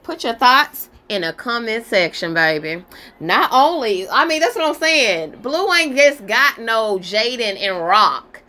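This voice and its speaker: A woman's voice: on the high side, pushed and bright. A woman giving casual reaction commentary on gossip, sassy and exclamatory, talking straight to her listeners.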